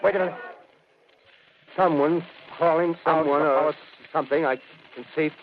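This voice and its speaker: A man acting as a shaken field reporter in a 1930s radio drama, describing events live in a halting, dramatic way. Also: a little high, steady tone, crowd noise, lightly acted. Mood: horrified awe. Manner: halting, breathless, dramatic eyewitness delivery. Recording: dull, noisy 1938 radio recording.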